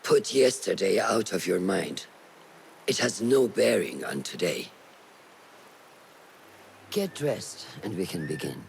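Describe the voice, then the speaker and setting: A male actor playing a stern, commanding mentor in a video game drama, speaking in terse, measured phrases. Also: deep voice, sing-song, vocal fry, a little hiss or hum, exaggerated voice. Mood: calm, firm.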